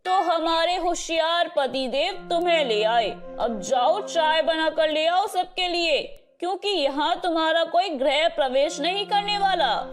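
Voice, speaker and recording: A woman's voice: very high voice, expressive, a little slow, few pauses, soft background music. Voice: a woman playing a spiteful, bossy senior wife. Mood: scornful. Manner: mocking, domineering.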